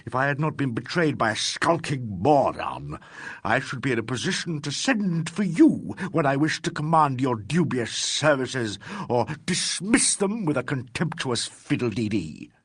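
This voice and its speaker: A man reading an audiobook voices a pompous, hysterical disgraced financier who rants in a shrill, trembling voice. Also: fairly bright tone, slightly rough, no room sound, heavily acted. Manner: shrill, indignant, trembling, ranting.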